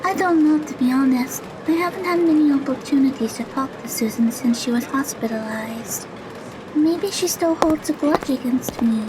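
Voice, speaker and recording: A woman playing a kindly, optimistic teenage high school girl in an audio drama. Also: normal speed, background noise, lightly acted. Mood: downcast.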